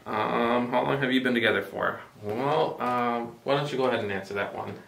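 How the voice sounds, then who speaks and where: A man in his twenties from Mexico, co-hosting a casual vlog chat, relaxed and full of fillers. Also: mid-range voice, a little fast, creaky, reverberant, far from the mic, a little hiss or hum, low-quality recording. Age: 20s. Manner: relaxed, filler-heavy, joking. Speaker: vlogger co-host.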